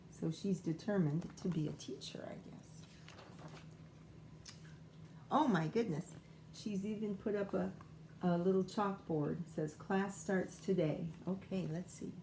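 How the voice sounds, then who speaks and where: A woman's voice: lowish voice, darkish tone, slight background noise, rough recording. A woman schoolteacher reading a children's picture book aloud, informally thinking aloud and wondering as she goes.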